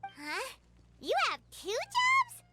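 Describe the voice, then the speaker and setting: A woman voicing a tiny, chirpy, cheeky fairy companion in a video game, squeaky, childlike and excitable. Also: drawn-out words, loud music behind the voice, heavily acted. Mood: curious. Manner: perky, excitable. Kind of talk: English video game voice acting.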